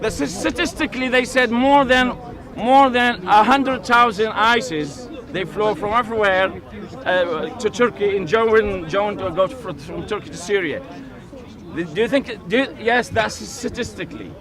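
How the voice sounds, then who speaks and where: A man's voice: high-pitched, unhurried. A man from Iraq arguing insistently in a street debate, speaking broken English and repeating "my brother" and "listen". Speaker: debater in a crowd. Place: outdoor public park with a crowd. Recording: crowd noise all around. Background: crowd chatter and traffic.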